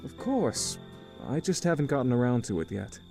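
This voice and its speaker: A man playing a weary, middle-aged uncle with a failing marriage, earnest and measured, in a visual novel game. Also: medium pitch, very animated, gravelly, dark tone, soft background music. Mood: weary, gentle.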